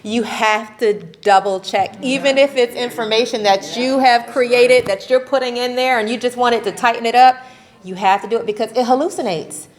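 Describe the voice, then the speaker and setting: Animated woman speaking on a panel. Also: on the high side, normal speed. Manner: animated, laughs often, ends points with "right?". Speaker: faith-based advocacy panelist. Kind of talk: conversational panel talk. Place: convention session room.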